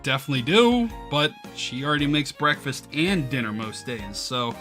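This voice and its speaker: Bright-voiced, sheepish high-school boy played by a man. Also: expressive, moderate pace. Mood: good-humored. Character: easygoing male high-school student, sheepish, good-humored. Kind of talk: reading visual novel dialogue aloud. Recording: music playing behind the voice.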